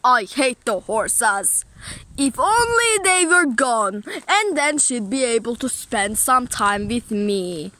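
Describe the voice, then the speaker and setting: A woman puts on a string of toy characters in a high, lively voice that leaps around. She talks slowly, with a bright and bouncy tone. The sound is close and clear, like a phone held near while she plays outdoors. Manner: playful, quick switches between characters. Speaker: toy video storyteller voicing all the toys. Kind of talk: improvised toy play voices.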